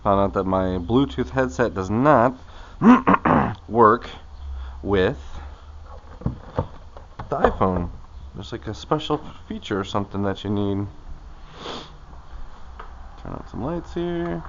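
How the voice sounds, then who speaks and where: Lively casual man, muffled noisy recording. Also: lowish voice, takes it slowly, long pauses. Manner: muttering to himself in short, loose phrases. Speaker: vlogger doing his own car maintenance. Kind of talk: casual talk-through of a hands-on task.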